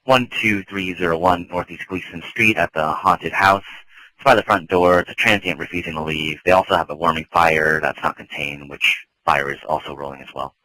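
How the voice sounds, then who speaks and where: A man's voice: low voice, steady tone, moderate pace, pushed and bright, rough recording. A man working as a police dispatcher, speaking tersely and in a businesslike way over scanner radio. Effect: police radio transmission.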